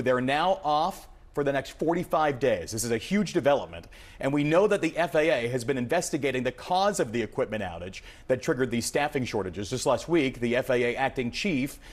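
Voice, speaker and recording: An American man delivers a live news report as an aviation correspondent. His pitch moves in lively swings as he explains things. His phrasing is punchy and emphatic.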